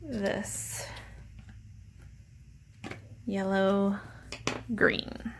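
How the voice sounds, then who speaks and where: A woman's voice: mid-range voice, fairly even intonation, extremely slow, slight background noise. A woman teacher talking children through an art activity step by step, in simple explanatory language. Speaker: teacher leading a drawing lesson. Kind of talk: step-by-step instructional narration for children.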